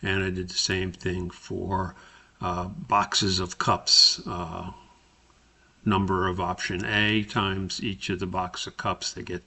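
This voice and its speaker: A man narrating a spreadsheet tutorial as an instructor, explaining step by step in a halting way. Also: sits low, vocal fry, bright, forceful tone, husky.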